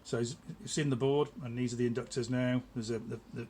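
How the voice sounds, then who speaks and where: A man's voice: mid-range voice, steady tone, brisk, a little mellow. Middle-aged man, noisy workshop recording. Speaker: electronics builder presenting their own product. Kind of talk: casual product demonstration to camera. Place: small cluttered indoor workshop. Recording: clear background noise in a room.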